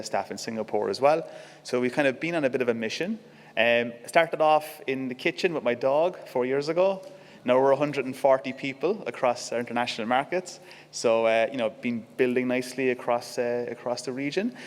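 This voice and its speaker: An Irish man giving a lively conference talk, quizzing the audience with quick questions. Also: medium pitch, big-room sound. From Ireland. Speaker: conference speaker. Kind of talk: conference presentation. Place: conference venue.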